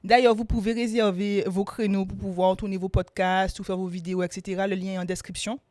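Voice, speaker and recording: Woman, podcast host, chatty, lively, mid-pitched, dry recording. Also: fairly quick, dry recording. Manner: chatty, lively, friendly questions. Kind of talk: podcast interview.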